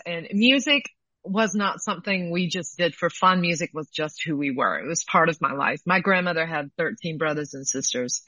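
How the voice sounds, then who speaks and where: A woman's voice: fairly low pitch, bright, forceful tone, no echo. A woman from Alabama, a guest on an interview show, talking eagerly about her background over a call. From Alabama, USA. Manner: upbeat, eager. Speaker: guest, stage performance coach. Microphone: phone line. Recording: dull phone-line sound, dry.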